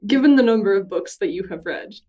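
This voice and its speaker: A woman's voice: mid-range voice, animated, recorded from a distance, highs cut off. Woman host asking questions off-mic. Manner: friendly, quick to laugh, hesitant phrasing. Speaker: podcast host, interviewer. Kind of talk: casual interview questions.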